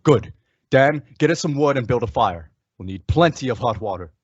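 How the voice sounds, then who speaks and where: A man playing a brave, steady masked cowboy hero in a radio western, commanding and reassuring. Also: medium pitch, normal speed, husky, no room sound, lo-fi sound. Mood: steady, concerned. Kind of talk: old-time radio western acting.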